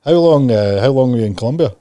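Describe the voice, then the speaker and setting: A man's voice: mid-range voice, lively intonation, quick pace, warm tone, no echo. A man hosting a podcast, introducing and questioning a guest in a conversational interview style. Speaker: podcast host.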